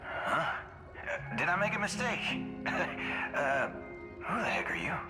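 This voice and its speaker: Slow, swaggering gunslinger. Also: male voice, a little high, huge pitch swings, heavily acted. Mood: mocking, amused. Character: cocky menacing gunslinger bounty hunter, gruff and mocking. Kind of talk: video game voice acting. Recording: background music.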